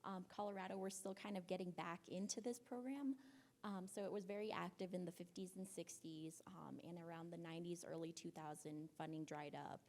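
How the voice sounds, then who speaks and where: Soft-spoken woman with a lowish voice. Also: fairly even intonation, fairly quick. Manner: soft-spoken, hesitant with many ums. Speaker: panelist. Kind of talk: conference talk.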